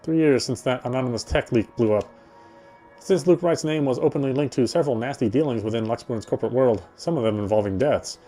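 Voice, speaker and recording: A man's voice: medium pitch, fairly quick, warm tone, faint music behind. A man reading first-person visual novel narration aloud in a conversational way, now and then stumbling and repeating himself.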